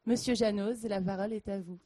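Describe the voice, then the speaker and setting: A woman moderating a conference, giving a formal, measured introduction of the speaker. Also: medium pitch, fairly even intonation, warm tone, slight background noise. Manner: formal, measured, read from notes.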